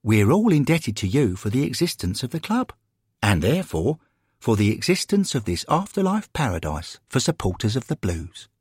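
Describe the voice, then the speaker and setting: Man playing an earnest, husky old-fashioned chum. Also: mid-range voice, sing-song, moderate pace, no echo, clean studio sound, pitched above the performer's own voice. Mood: earnest, grateful. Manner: earnest, grateful, gentlemanly. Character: warm, sincere old-fashioned male chum.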